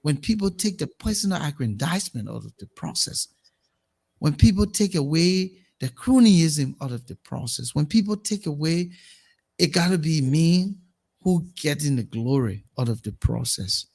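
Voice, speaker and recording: A man with a Liberian accent hosts a current affairs show, pressing his points with emphasis and repeated words. His voice sits a little high for a man. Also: expressive, moderate pace, vocal fry, darkish tone, husky. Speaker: talk show host.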